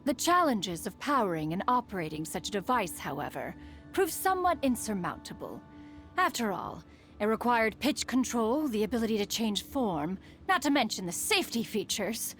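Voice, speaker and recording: A woman's voice: a little high, big pitch swings, moderate pace, fairly bright tone. A woman voicing a proud, refined, aloof ancient immortal in a video game, speaking formally and loftily. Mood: solemn, dignified. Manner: formal, haughty, calls herself "one". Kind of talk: scripted video game character voice lines. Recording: music behind the voice.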